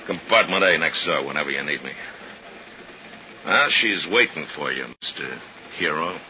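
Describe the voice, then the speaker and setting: An actor in a 1950s radio drama playing a beefy, needling cop who poses as a pushy drunk, talking in a folksy, goading way. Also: male voice, rumbling low, expressive, moderate pace. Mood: mocking. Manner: folksy, goading, tacks "huh" onto questions. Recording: muffled, noisy 1950s radio recording.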